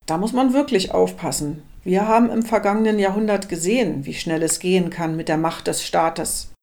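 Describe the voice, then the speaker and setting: A woman's voice: on the low side, moderate pace, darkish tone, lightly acted. A woman playing an earnest social media commenter in a radio drama collage. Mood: concerned.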